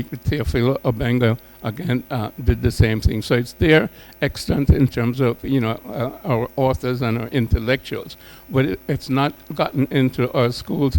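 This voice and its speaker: A man speaking off the cuff as a panelist, with a halting, emphatic delivery full of repeated words and intellectual phrasing. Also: medium pitch, creaky, darkish tone, poor audio. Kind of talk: extemporaneous panel speech.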